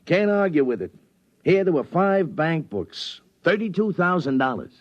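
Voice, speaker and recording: An American man playing a gruff, practical police sergeant in a 1950s radio drama, talking in a colloquial, dismissive way. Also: a little high, sing-song, normal speed. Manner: matter-of-fact, dismissive, colloquial. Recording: dull, lo-fi 1950s radio broadcast.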